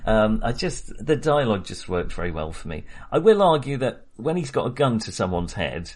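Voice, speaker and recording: Husky-voiced man chatting about a film. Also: lowish voice, very animated, moderate pace. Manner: rambling, restarts often, laughs while talking. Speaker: podcast co-host. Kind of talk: casual film discussion.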